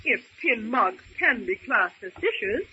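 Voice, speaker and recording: Practical gracious young lady, sharp bright voice, polite, old radio drama, muffled recording. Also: a little high, slow pace, a little hiss or hum, full character voice. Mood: pleased. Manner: polite, crisp, expressive. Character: practical, genteel young woman castaway, gracious.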